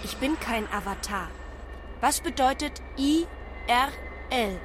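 Naive, high-voiced young AI girl. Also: expressive, slow pace, slight background noise. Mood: naive, curious. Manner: literal, matter-of-fact, questioning. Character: naive young female artificial intelligence avatar, literal and earnest. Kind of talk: scripted radio drama acting. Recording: music behind the voice.

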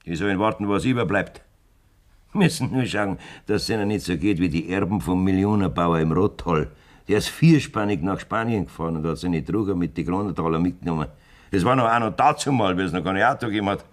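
A man's voice: deep voice, fairly quick, close to an everyday voice. An actor with a Bavarian accent playing a scheming, blunt aging farmer who talks down to his wife in a radio play. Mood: boastful. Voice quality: blunt, self-assured and lively in tone.

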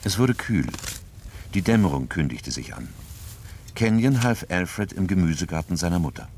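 A man's voice: deep voice, expressive, normal speed, a little mellow, a little hiss or hum. A man acting as the sober narrator of a crime audio drama, delivering scripted literary narration.

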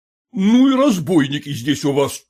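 Storybook role: blustering, curt gentleman husband played by a male narrator, high-pitched, imperious, animated. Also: measured pace, husky, heavily acted. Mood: indignant. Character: indignant, blustering gentleman husband, easily outraged.

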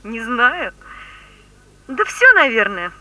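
A woman's voice: fairly high pitch, sing-song, slow, sharp tone, full character voice, rough recording. An actress playing a polite, artless teenage schoolgirl in a 1970s Soviet radio play. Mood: open, chatty. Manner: simple, artless, girlish answers. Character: polite teenage schoolgirl, daughter of an old friend.

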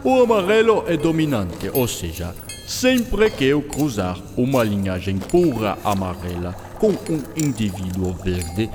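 A man's voice: lowish voice, sing-song, measured pace. Man acting as eccentric scientist, German accent, patient enthusiastic teacher, radio play, long reverb, music underneath. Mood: patient explaining. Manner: didactic, peppers speech with German words. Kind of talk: scripted radio drama dialogue.

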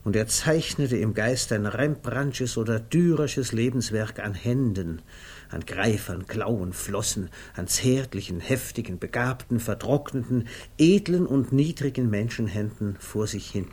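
Measured male narrator, vintage radio. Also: on the low side, darkish tone, slightly rough. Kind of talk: literary narration in a 1950s German radio play. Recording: faintly noisy vintage radio recording.

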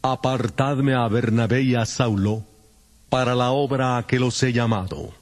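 A man's voice: medium pitch, steady tone, measured pace. An actor voicing the Holy Spirit as a solemn, commanding divine male voice with long reverb in a dramatized audio Bible.

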